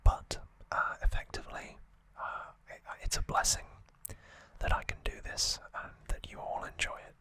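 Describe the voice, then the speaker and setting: An ASMR host speaking in a gentle, halting, unvoiced whisper throughout. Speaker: host of an anime trivia channel.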